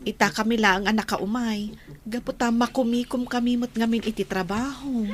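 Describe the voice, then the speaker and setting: A woman's voice: fairly high pitch, fairly even intonation, moderate pace, a crowd in the background, lightly acted. A woman with an Ilocano accent playing a sympathetic, solemn neighbour in a radio drama, speaking with earnest consolation. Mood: apologetic condolence.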